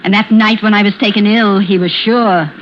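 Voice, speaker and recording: An actress playing a murderous, scheming widow who taunts with false helplessness in an old-time radio suspense drama. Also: female voice, medium pitch, fairly even intonation, a little hiss or hum, natural voice. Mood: scornful. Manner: taunting, theatrical, drawn-out monologue. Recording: muffled vintage radio recording with music.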